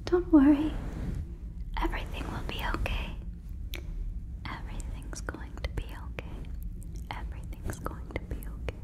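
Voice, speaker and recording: A woman's voice: sits high, steady tone, normal speed, long pauses, mellow, natural voice. A woman voicing a friendly, naive female alien in an ASMR roleplay, whispering breathily and very close to the mic with soothing, stilted, formal phrasing. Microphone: fluffy microphone, very close.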